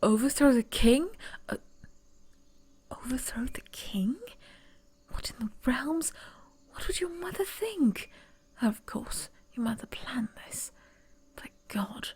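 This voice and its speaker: A woman voicing a young noblewoman who would be heir, whispering softly and stammering when flustered, in an ASMR roleplay. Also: high-pitched, expressive, slow, breathy edge, heavily acted.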